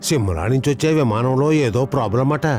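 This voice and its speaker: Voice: medium pitch, animated, fast talker, darkish tone, lightly acted. An actor playing a concerned grown-up in a dubbed children's cartoon. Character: concerned grown-up telling children worrying news.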